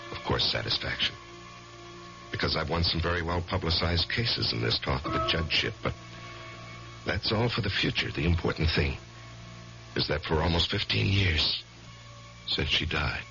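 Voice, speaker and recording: An actor playing a troubled, ambitious lawyer in his forties, measured and hesitant, in an old-time radio mystery. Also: rumbling low, gravelly, heavily acted. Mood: reflective. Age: 40s. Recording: dull vintage radio recording.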